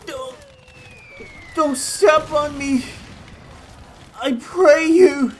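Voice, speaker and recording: A man's voice: extremely high pitch, very slow, slight background noise, voice pushed up, full character voice. A man voicing a wounded soldier in agony, crying and begging, in a dramatized story reading. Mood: agonized.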